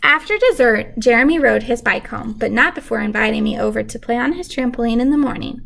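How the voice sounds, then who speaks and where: Higher-pitched woman reading a children's story, creaky edge. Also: lively intonation, brisk, a bit bright. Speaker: teacher reading aloud. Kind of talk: expressive children's storybook read-aloud.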